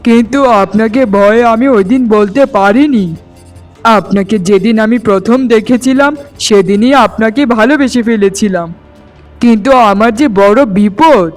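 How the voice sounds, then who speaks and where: A man's voice: high-pitched, moderate pace, faint music behind, natural voice. A man voicing a defiant, lovestruck young villager in an earnest, declamatory cartoon melodrama style. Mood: earnest, determined.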